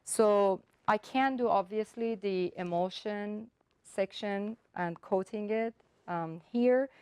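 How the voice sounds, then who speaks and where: Slow-spoken woman, Persian native speaker. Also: medium pitch, a little mellow, clear, resonant tone, dry recording. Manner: careful, step-by-step explanation with frequent ums and uhs. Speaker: artist giving a demonstration. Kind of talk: explaining her work and technique.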